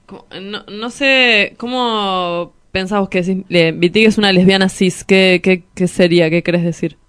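A woman's voice: medium pitch, fairly even intonation, normal speed, a bit bright, smooth. A woman with an Argentine Spanish accent speaking casually as a co-host in an interview. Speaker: radio show co-host.

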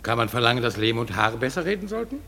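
A man's voice: medium pitch, big pitch swings, quick pace, vocal fry, pushed and bright, heavily acted. An actor playing an amused, mocking nobleman commenting on a performance in a radio play. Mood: wry mockery.

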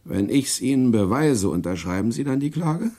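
An actor playing a relentless, cunning prosecutor with a gravelly voice in a German radio play, pressing a suspect insistently. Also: male voice, medium pitch, lively intonation, moderate pace, warm tone, lightly acted. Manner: insistent, interrogating.

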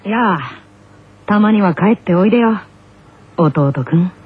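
Gently teasing older-brother schoolboy. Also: female voice, mid-range voice, expressive, measured pace, slightly rough. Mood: serene teasing. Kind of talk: comic radio drama. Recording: muffled lo-fi radio recording.

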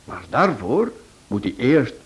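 A theatrical male sleuth in a radio drama. He tells his case with relish and emphasis, his mid-range voice swinging widely in pitch. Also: sing-song, slow pace, poor audio. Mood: dramatic relish. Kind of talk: Dutch radio play acting.

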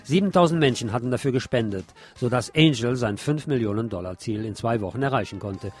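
A man's voice: medium pitch, brisk, a little mellow, breathy edge. A man reading scripted film commentary as host of an alternative radio programme.

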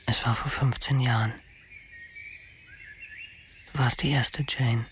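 An actor playing a delusional, obsessive killer who muses to himself in a hushed, halting, brooding radio-drama monologue. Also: male voice, medium pitch, fairly even intonation, a little hiss or hum, close to an everyday voice. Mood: reverent, obsessive.

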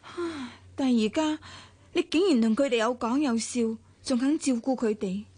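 An actress playing a weary, reserved estranged wife in a Cantonese radio drama, serious and restrained, with a Hong Kong accent. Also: female voice, fairly high pitch, moderate pace, warm tone, slightly rough, no echo. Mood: wistful, resigned.

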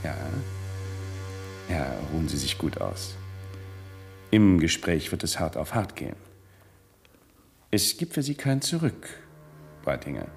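Casting: assured, cynical space colonist, deep voice, deliberate delivery, vintage radio drama with faint music. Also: male voice, big pitch swings, vocal fry, heavily acted. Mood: coolly assured. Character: self-assured male space colony insider, coolly cynical. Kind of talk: 1970s German radio play acting.

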